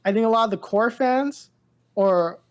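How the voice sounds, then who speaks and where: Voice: medium pitch, moderate pace, smooth. A podcast co-host chatting casually about pop culture, rambling and often repeating phrases.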